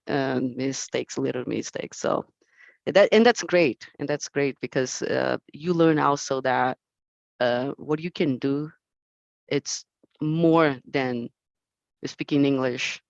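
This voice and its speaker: A woman's voice: very deep voice, creaky, no echo, highs cut off. A woman from Brazil with a Brazilian Portuguese accent, a native Portuguese speaker, speaking earnestly as a panelist at a conference discussion and sometimes searching for words in English.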